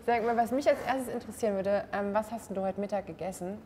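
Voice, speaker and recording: A woman's voice: medium pitch, fast, dark tone, a little hiss or hum. A woman hosting a light-hearted TV culture interview, playful and addressing viewers directly, in a large museum hall on a lapel mic. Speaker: TV culture show host.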